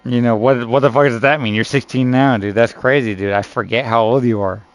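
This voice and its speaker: A man's voice: mid-range voice, steady tone, fairly quick, poor audio. A man in his early thirties in casual, teasing chat with gaming friends, loose and slangy, calling people "dude" and "bro" and swearing now and then. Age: 30s. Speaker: gaming streamer.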